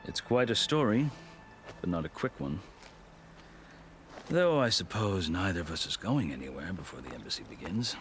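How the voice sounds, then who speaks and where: A very low-pitched, weathered soldier of high rank speaks with formal, measured eloquence. He carries himself like a proud storyteller in a game cutscene, with music clearly playing behind him. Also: big pitch swings. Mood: wry, solemn. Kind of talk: video game cutscene acting.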